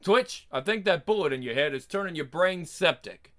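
A man's voice: on the high side, animated, normal speed, a bit bright. A man voicing a blustering, crude, wisecracking police detective in a dramatic comic book reading. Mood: sardonic, heated. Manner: sardonic, wisecracking.

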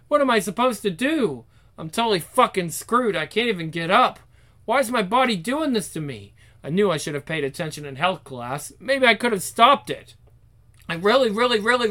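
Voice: mid-range voice, bright, forceful tone. A performer voicing a troubled, sarcastic, self-conscious young teenage girl in a dramatic inner monologue for a story. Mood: panicked.

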